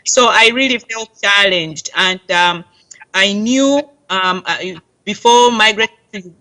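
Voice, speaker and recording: Bright, rallying Ugandan woman. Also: mid-range voice, slow pace, poor audio. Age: middle-aged. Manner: earnest, rallying. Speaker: diaspora campaign volunteer. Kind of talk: interview answer.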